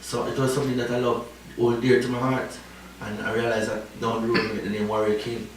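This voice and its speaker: A man's voice: medium pitch, completely flat delivery, a little fast. Jamaican guy rambling on, lots of "you know", sounds far off in a big echoey room. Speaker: reggae artist guest. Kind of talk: casual interview talk. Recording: echoey, distant, faint noise.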